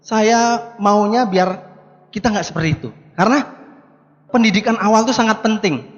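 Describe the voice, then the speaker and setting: A man's voice: high-pitched, normal speed, lo-fi sound. A man gives a motivational talk in a conversational way, putting rhetorical questions to his listeners. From Bali, Indonesia. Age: 50s. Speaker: motivational speaker. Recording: echoing hall, long reverb.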